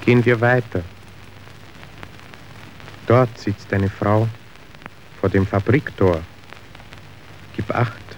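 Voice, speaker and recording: An actor playing a slick, manipulative factory official in a radio drama, speaking in a measured, officious, persuasive way. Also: male voice, fairly low pitch, steady tone. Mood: instructing, cool. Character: slick, calculating male factory boss's agent, smoothly manipulative. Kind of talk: stage-like German radio drama acting. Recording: dull, noisy old radio recording.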